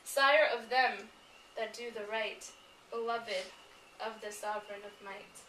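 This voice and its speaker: A woman's voice: medium pitch, fairly even intonation, a little slow. A woman speaking to a gathering with a heartfelt, solemn manner, as at a poetry reading. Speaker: poetry reader at a memorial gathering. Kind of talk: reciting poems to an audience. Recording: roomy, distant mic, some background noise.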